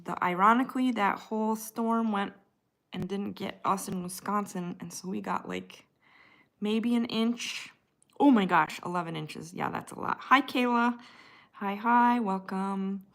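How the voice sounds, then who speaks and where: A woman with a Midwestern American accent hosts a casual livestream at a medium pitch. She talks in a chatty, conversational way, drifting between comments and answering viewers directly by name. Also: a little slow, vocal fry. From United States. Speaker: livestream host reading and answering viewer chat. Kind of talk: casual live chat with viewers.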